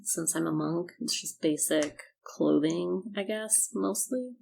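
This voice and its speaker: A woman with a gravelly, slightly low voice talks casually as a player in a tabletop game. She sounds tentative, working out her character aloud. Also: takes it slowly, a little mellow.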